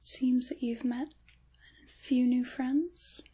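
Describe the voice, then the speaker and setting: A woman playing an ancient, kindly witch in a soft-spoken ASMR role-play, with breathy, whispery speech and a musing, wry, gently reassuring manner. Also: high-pitched, extremely slow, full character voice. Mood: calm, fond.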